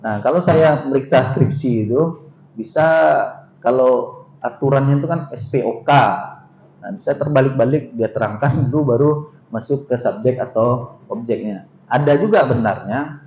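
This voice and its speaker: A man's voice: mid-range voice, moderate pace, warm tone. A middle-aged man with a Minangkabau accent giving a seminar lecture, talking conversationally and putting questions to the audience. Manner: conversational, asks the audience questions, frequent filler "eh" and "gitu". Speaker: university vice rector giving a seminar talk. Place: seminar room. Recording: dull roomy hall recording with faint noise.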